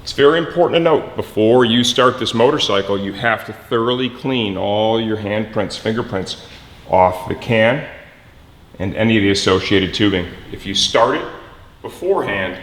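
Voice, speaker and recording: A man presenting a hands-on mechanical how-to, instructive and often checking in with a quick "okay". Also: lowish voice, a bit bright, long reverb tail.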